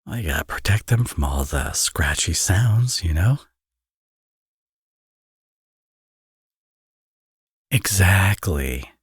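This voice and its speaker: A man's voice: deep voice, animated, a little slow, husky, no echo, studio quality, heavily acted. A man playing a flirty, smug villain who dotes as a father, in a romantic ASMR audio roleplay with playful, conversational delivery. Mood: confident, teasing. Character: teasing, flirty male villain, now a doting father.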